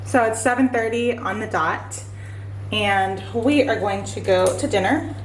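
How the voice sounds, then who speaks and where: A young woman vlogging on her phone outdoors at a beach resort, her voice raspy from being sick, talking to viewers in a chatty way with lots of "like". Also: medium pitch, a little slow. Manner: chatty, lots of "like", talks to viewers as "you guys". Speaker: vlogger, nursing student. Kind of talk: casual vlog narration. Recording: roomy outdoor sound with loud insect noise. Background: crickets, insects chirping.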